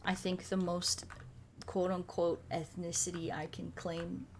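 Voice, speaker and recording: A woman's voice: fairly low pitch, little pitch movement, measured pace, a little hiss or hum. An American woman chatting casually on a livestream while she plays a puzzle game, in a playful, slangy, rambling way. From United States. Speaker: streamer. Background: music.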